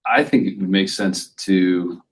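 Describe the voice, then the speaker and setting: A man on the board speaking in a soft, tentative way during the meeting discussion. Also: deep voice, measured pace. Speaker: board member. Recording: faint background noise.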